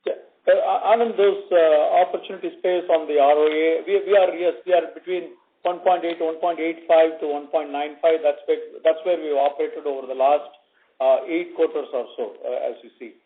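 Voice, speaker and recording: A fairly high-voiced man gives a financial answer as an executive. He is very halting and keeps restarting phrases. The sound is a narrow, tinny phone line. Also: steady tone. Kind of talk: spontaneous financial answer on an earnings call. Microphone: conference call line.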